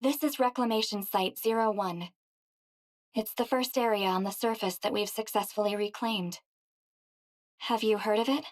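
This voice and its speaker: A woman's voice: mid-range voice, fairly even intonation, moderate pace, long pauses, lightly acted. A woman voicing a polite, businesslike female squad officer in a game, courteous and informative. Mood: polite, helpful. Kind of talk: English game voice acting.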